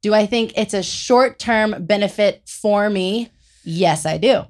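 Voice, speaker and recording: Young woman calmly arguing her side. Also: mid-range voice, measured pace. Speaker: panelist. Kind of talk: casual debate.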